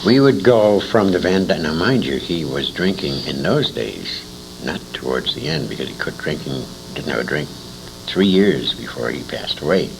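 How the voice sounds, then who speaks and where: A man's voice: very deep voice, expressive, normal speed, gravelly. An older American man reminiscing in an interview, telling anecdotes in a rambling, emphatic way with asides to his listener. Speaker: interviewee, storyteller. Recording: noisy amateur 1980s convention interview recording.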